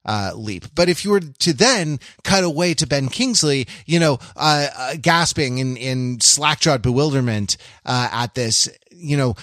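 A man talks as a podcast co-host in a fairly ordinary mid-range voice, recorded dry and close. He works through his ideas in a halting, thoughtful ramble, keeping the tone conversational. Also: medium pitch, a bit bright, no echo. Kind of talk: casual discussion of films.

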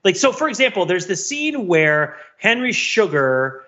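A man's voice: sits high, very animated, measured pace, pushed and bright. Male podcast co-host, upper-register voice, analytical and discursive, thinking aloud; slightly muffled recording. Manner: wordy, thinking aloud with frequent repeats and "right?" tags. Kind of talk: casual film discussion, analytical.

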